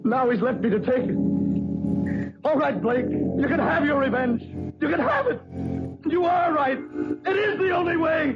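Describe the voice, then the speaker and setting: Haunted guilty killer, old radio drama, fearful muttering, lively pitch, lo-fi with loud music. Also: male voice, moderate pace, pauses often. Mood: despairing. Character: guilt-haunted murderer, middle-aged man. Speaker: Keith Grange, millionaire. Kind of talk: 1940s radio acting.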